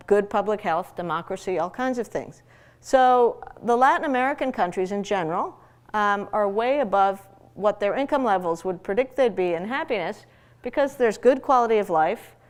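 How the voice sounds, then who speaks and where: A smooth, clean female voice at an ordinary pitch, from a speaker who grew up in Peru. She lectures like an academic at ease with her audience. Her delivery is explanatory and conversational, with rhetorical questions to keep listeners following. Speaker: economist giving a public lecture.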